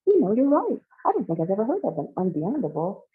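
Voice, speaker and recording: A woman's voice: low voice, big pitch swings, normal speed, vocal fry, exaggerated voice. A woman voicing a pert, inquisitive, defensive young lady in a story, with an insistent manner. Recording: thin phone-like band-limited sound.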